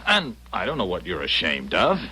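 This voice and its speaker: A man's voice: low-pitched, huge pitch swings, normal speed, pushed and bright, slightly rough, full character voice, low-quality recording. An actor playing an eager, easily flustered young husband in an old-time radio drama, sometimes hesitant in his delivery. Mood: teasing.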